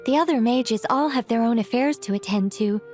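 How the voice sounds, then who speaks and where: A woman voicing a composed, whimsical witch mage in a video game, chatty and genteel with a gently teasing manner. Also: on the high side, fairly quick, close to an everyday voice. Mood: explanatory, airy. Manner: chatty, playful, genteel storyteller. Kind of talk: animated game voice acting. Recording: music playing behind the voice.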